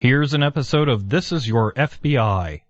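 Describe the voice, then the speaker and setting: A man's voice: mid-range voice, lively intonation, normal speed, no room sound. A man reading scripted continuity announcements as a radio network announcer. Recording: slightly dull, dry studio recording.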